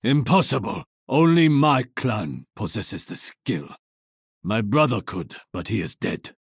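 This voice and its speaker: Husky, brooding Japanese archer. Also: male voice, on the low side, animated, measured pace, dry recording, studio quality, heavily acted. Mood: stern, brooding. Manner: solemn, proud, terse. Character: stern, brooding Japanese archer, exiled warrior of honor. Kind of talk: video game voice lines.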